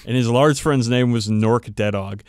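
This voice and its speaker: A man's voice: medium pitch, moderate pace, a little mellow, clear, resonant tone, no echo, studio quality. A man hosting a podcast, casually telling tabletop game lore with sweary enthusiasm. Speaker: podcast host. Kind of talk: casual chat about tabletop wargame lore.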